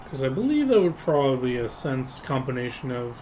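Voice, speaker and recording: Halting male game master over background noise. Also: mid-range voice, very animated, darkish tone. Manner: halting, stops to check notes. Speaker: game master of a tabletop roleplaying game. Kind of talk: improvised tabletop roleplay.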